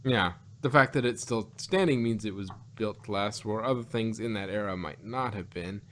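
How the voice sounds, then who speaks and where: A man co-hosting a casual review podcast, rambling along haltingly and often repeating words as he goes. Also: lowish voice, fairly bright tone. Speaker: podcast co-host reviewing audio dramas.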